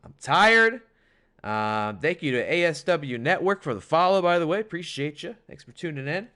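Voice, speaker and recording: A hoarse man commentating a wrestling video game on a stream, with animated, chatty hype. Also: a little high, normal speed. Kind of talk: play-by-play commentary.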